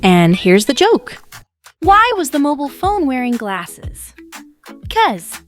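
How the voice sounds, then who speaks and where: A woman voicing a cheerful dog puppet doing stand-up comedy, telling jokes deliberately. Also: high voice, very animated, soft background music, heavily acted.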